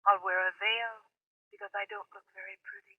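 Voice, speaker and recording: A woman's voice: medium pitch, little pitch movement, brisk. Tinny-voiced, tender female ghost. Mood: ominous. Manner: sweetly endearing, ominous. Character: dead woman's ghost, tender, eerily possessive. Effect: voice over a telephone. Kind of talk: vintage radio suspense acting. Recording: tinny telephone sound on old radio.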